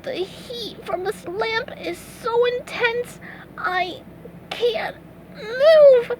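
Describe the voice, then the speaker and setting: Woman voicing an excitable, very high-pitched cartoon sponge. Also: slow pace, slight background noise, exaggerated voice, rough recording. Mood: weak, resigned. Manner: exclamatory, dramatic, stammering when upset. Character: excitable, anxious, kindhearted young male cartoon sea sponge.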